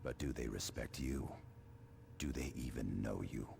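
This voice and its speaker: An actor playing a blunt, grim veteran space marine sergeant in a video game, gravelly and hushed, speaking in measured, terse phrases. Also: male voice, rumbling low, slight background noise, full character voice. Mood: challenging. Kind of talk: scripted video game acting.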